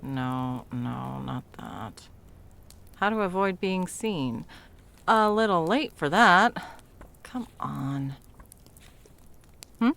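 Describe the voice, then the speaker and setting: Woman acting creaky, low-voiced, frazzled Christmas elf. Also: lively intonation, extremely slow, pauses often, a bit bright, exaggerated voice. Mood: exasperated. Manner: muttering, sarcastic, flustered, often dropping to whispers. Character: frazzled, foul-mouthed, inept female Christmas delivery elf. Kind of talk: whimsical comedic ASMR roleplay, talking to herself and listener.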